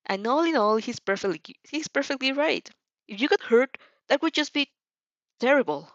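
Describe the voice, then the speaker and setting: A woman's voice: sits high, very animated, normal speed, no room sound, highs cut off, voice pushed up. A woman voicing a passionate, earnest teenage hero student, a boy who speaks in emphatic exclamations in an amateur audio drama.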